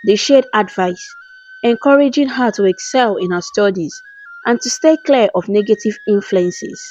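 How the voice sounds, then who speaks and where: A woman's voice: medium pitch, expressive, moderate pace. A woman storyteller reading a scripted folktale in a dramatic, formal manner. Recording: slightly dull lo-fi voice-over. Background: soft background music with flute.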